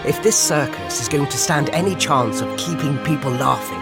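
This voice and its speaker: Eager, lively young recruit played by a man. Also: mid-range voice, normal speed, natural voice. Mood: hopeful. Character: eager young circus recruit, polite and keen. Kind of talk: video game cutscene acting. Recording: loud music behind.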